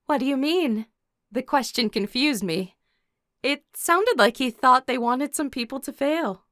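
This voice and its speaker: A woman's voice: sits high, measured pace, fairly bright tone, no echo, studio quality. A woman playing a composed, caring teenage warrior girl, narrating an audio drama in the first person and speaking her dialogue. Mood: thoughtful, reassuring.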